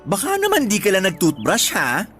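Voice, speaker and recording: A woman's voice: mid-range voice, huge pitch swings, normal speed, a bit bright, faint music behind. A woman playing a nosy, joking close companion, with exclamatory, mock-exasperated banter in a casual radio drama. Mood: amused, teasing.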